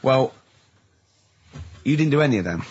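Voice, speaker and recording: A man's voice: medium pitch, lively intonation, moderate pace, darkish tone, breathy edge. A man with a southern English accent, hosting a casual comedy radio show, teasing and explaining things in a conversational way. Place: radio studio. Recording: muffled lo-fi radio recording.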